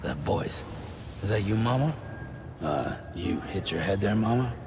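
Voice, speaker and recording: An actor playing a blunt, weary courier hero in a video game, gravelly and terse. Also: male voice, animated, measured pace. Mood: wary. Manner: terse, low-key. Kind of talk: dramatic video game acting. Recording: clearly noisy ambient background.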